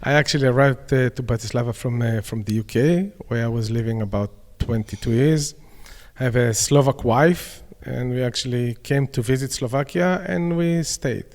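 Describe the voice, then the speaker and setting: A man with an Israeli accent, answering interview questions in a plain, slightly halting way with some fillers. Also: medium pitch, a little mellow, long reverb tail. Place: community centre dining room.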